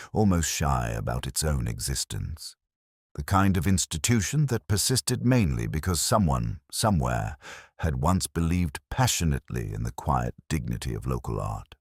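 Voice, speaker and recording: A man narrating a scripted first-person detective mystery as a reflective, world-weary inspector, with a measured, brooding literary delivery. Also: very deep voice, a little mellow, dry recording, clean studio sound.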